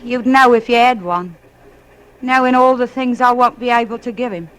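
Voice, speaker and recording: A woman's voice: fairly high pitch, moderate pace, lightly acted, poor audio. An actress playing a weary, fussy working-class English widowed mother in an old radio drama, deferential and folksy. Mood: wistful, weary. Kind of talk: 1940s radio acting.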